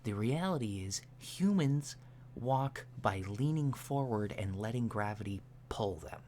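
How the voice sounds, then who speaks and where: A man plays an alien instructor in an ASMR roleplay, soft-spoken and fairly low in pitch. He lectures very slowly and deliberately, with an earnest deadpan delivery. A steady noise sits behind the lo-fi recording. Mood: calm, instructive. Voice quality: soft, hushed voice.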